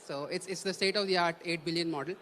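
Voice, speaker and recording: Man, panelist, hesitant, repeats words, slightly high pitch. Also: fairly even intonation, fast, a bit bright. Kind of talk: panel self-introduction. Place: conference stage.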